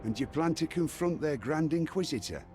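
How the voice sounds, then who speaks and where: A man's voice: on the high side, lively intonation, moderate pace, a little mellow, natural voice. An actor voicing a doubtful, anxious man in a fantasy video game, with a questioning way of speaking. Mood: wary. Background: game music.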